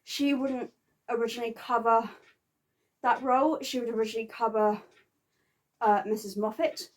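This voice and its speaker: A woman chatting casually to viewers as a vlogger, halting and sometimes stumbling over words. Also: fairly high pitch, fairly even intonation. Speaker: vlogger reviewing a theatre visit. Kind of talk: casual unscripted chat to viewers.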